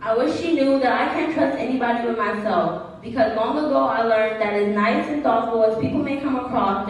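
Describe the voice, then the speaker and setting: A young woman performing slam poetry as a student, with an earnest, rhythmic, rehearsed delivery. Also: fairly high pitch. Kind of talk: slam poetry recitation with acted student dialogue. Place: large echoey room at a gala event. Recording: very echoey hall, distant mic.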